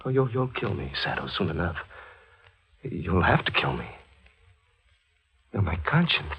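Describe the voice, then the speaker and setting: A man's voice: deep voice, very animated, gravelly, fairly bright tone, husky, heavily acted. An actor playing a tormented, guilt-haunted man in a 1940s radio thriller, narrating and speaking with brooding intensity. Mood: feverish, desperate. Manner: brooding, intense, halting in dialogue. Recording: 1940s radio recording with music behind.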